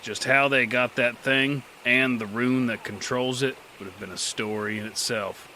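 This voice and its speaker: A man's voice: mid-range voice, fairly even intonation, moderate pace, bright, forceful tone, slight background noise, lightly acted. A man narrating and playing a rugged, weary gunslinger in an audio drama, with a dry, terse delivery. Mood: steady. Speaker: narrator and lead.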